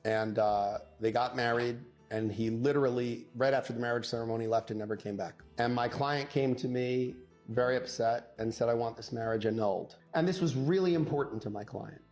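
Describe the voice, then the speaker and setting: A man telling a courtroom anecdote as a divorce lawyer, in a punchy conversational way, acting out quoted dialogue with dramatic pauses. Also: medium pitch, a little mellow, soft background music. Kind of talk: animated conversational storytelling.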